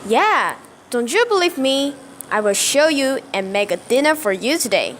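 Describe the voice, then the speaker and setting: A woman's voice: high voice, very animated, moderate pace, a bit bright, slight background noise. A woman playing an emotional young female student torn between two loves in an amateur radio play. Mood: surprised, happy.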